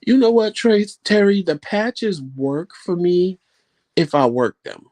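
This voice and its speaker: A man's voice: high voice, lively intonation, slow pace, a little mellow. A man hosting a vlog live stream, chatting casually with viewers in a rambling, folksy way. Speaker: vlog host answering live chat.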